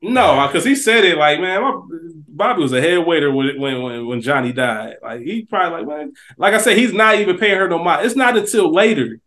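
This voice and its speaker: A man co-hosting a casual podcast, making quick side points about a scene and repeating himself as he goes. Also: fairly high pitch, expressive, a bit bright. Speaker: podcast co-host. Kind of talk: casual TV recap chat.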